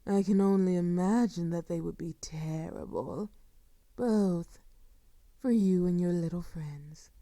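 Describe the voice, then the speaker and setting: Woman acting as a possessive vampire lady for audio rp, dark velvety voice, super slow, taunting and condescending, soft breathy murmurs. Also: medium pitch, dry recording, clean studio sound, close to an everyday voice. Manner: theatrical, silky, condescending, drawn-out phrasing. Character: sadistic seductive female vampire lord, possessive and taunting. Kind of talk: intimate scripted audio roleplay acting.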